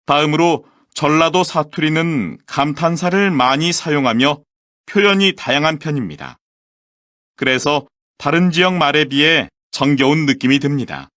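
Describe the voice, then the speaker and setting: A man with a somewhat high voice reads a scripted textbook presentation in a clear, measured and formal way. The recording is close and dry, with no room sound. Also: fairly bright tone, dry recording. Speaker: textbook audio voice actor. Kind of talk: scripted language-lesson reading.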